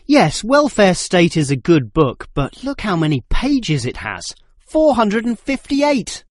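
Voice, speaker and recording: Male student played by a man, young, critical and precise, animated intonation, scripted dialogue, dry acoustic. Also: sits high, measured pace, dry recording, exaggerated voice. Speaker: student in a listening test dialogue. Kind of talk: scripted exam dialogue acting.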